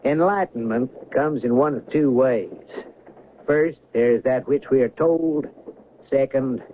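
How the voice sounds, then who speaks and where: A narrow, faintly noisy vintage radio recording carries a man playing a train conductor in a radio play. He is polite, unruffled and cryptic, with a middle-pitched voice. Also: takes it slowly, natural voice. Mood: calm, cryptic. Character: calm, evasive, courteous male train conductor. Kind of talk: radio drama acting.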